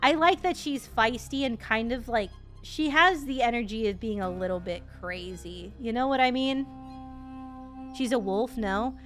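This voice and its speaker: Female game streamer, rambling and sweary, bright forward voice, animated casual commentary over soft music. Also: fairly high pitch, a little slow.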